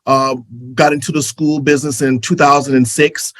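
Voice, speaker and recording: A man's voice: a little high, little pitch movement, moderate pace, dry recording. Laid-back American man from Detroit. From Detroit, USA. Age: middle-aged. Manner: laid-back, joking, casually swearing. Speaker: barber school director. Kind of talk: casual guest chat.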